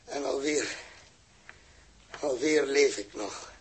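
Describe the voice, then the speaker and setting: An actor playing a desperate lone space castaway with a Netherlands Dutch accent, talking to himself haltingly in a 1960s radio drama. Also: male voice, medium pitch, a little hiss or hum. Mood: dazed, forlorn. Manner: halting, repeats himself, talks to himself.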